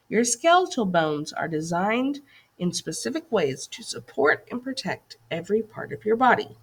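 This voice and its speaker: A woman reads a school lesson aloud with very animated, swooping intonation. Her voice sits at a middling pitch and has a husky edge. She sounds instructive, like a teacher putting questions to young pupils. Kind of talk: scripted educational read-aloud.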